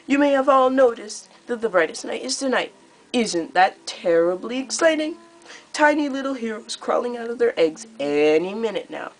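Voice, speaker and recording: A woman's voice: fairly high pitch, animated, normal speed, faint music behind, voice pushed up, natural voice, rough recording. A young woman voicing a cruel, theatrical dragon queen in a storybook, gloating and stretching out her words. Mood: gleeful, mocking.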